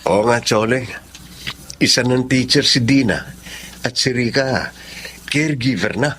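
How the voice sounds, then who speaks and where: A man's voice: mid-range voice, a little slow, a bit bright, a little hiss or hum, lightly acted. An actor playing a humble, grateful husband and father in a Filipino radio drama, deferential and earnest. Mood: proud, hopeful.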